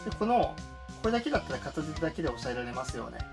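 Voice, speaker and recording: A man's voice: a little high, big pitch swings, rapid-fire, gravelly. A man explaining things step by step as a music teacher in a spoken tutorial.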